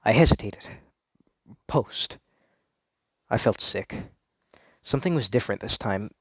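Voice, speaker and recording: A man's voice: on the low side, expressive, unhurried, breathy edge, no echo. A man reading a first-person story aloud in a theatrical, earnest, confessional way.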